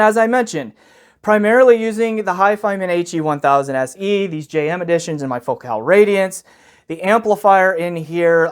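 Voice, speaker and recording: Man with a higher-pitched voice reviewing gear. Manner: explanatory, punctuated with frequent "okay" check-ins. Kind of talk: unscripted product review.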